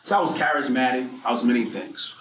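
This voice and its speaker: A man from New Jersey giving a personal storytelling speech at a political mixer, animated and profane, swinging between loud bursts and quiet asides. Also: mid-range voice, fast, slight background noise. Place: event room. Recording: echoey room with faint ambience.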